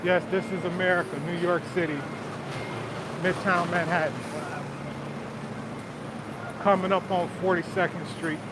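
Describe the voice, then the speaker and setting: A man's voice: high voice, unhurried, pauses often. A man with a Southern US accent, chatting casually with viewers on a phone livestream while he walks outdoors. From Detroit, Michigan. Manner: relaxed, chatty, answers viewer comments, often says "man". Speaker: livestream host. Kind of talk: casual live-stream chat while walking. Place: outdoors on busy city streets. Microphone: phone held while walking. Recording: street noise. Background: city traffic and crowd sounds.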